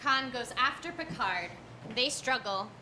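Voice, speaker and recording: A woman with a high voice reads stage directions as scripted narration. The recording is a live staged reading, with clear noise behind her. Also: lively intonation, measured pace, bright, forceful tone, low-quality recording.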